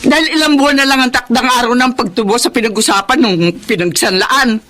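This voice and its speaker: A woman's voice: on the high side, fairly quick, a bit bright, close to an everyday voice. A woman playing an outspoken middle-aged Filipino wife and mother in a radio drama, emphatic and indignant. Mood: defiant.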